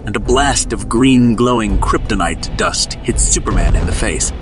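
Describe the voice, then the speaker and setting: A man's voice: lowish voice, normal speed, music in the background. A man giving dramatic scripted narration as the narrator of an audio drama.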